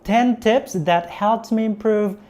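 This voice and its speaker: A young woman with a Filipino accent, a native Visayan speaker, teaching an English lesson in a careful, encouraging way on a lapel mic. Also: mid-range voice. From Philippines. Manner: slow, careful, encouraging delivery.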